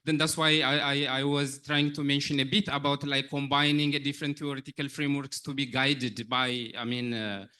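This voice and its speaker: A man answering questions after a research presentation, halting with many restarts and fillers. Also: mid-range voice, little pitch movement, sharp tone, clear, resonant tone. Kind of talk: conference Q&A answer.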